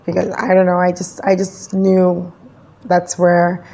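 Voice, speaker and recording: A woman from Ghana, a guest founder, answering interview questions in a relaxed, thoughtful way. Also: fairly low pitch, measured pace, creaky. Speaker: guest, NFT project founder.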